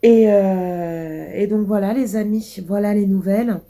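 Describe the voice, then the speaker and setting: A woman's voice: mid-range voice, measured pace, dark tone. A woman vlogging casually while sick, with a blocked nose and a cough, rambling through many hesitations. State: congested, stuffy nose. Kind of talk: casual vlog chat.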